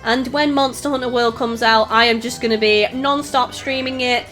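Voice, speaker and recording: High-pitched Scouse-Welsh woman streamer. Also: steady tone, moderate pace, fairly bright tone, faint music behind. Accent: Northwest English Scouse with a touch of Welsh. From Northwest England. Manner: raised, projecting streamer voice. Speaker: livestream host, gamer. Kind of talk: casual live gaming commentary, reading out chat. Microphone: desktop USB microphone.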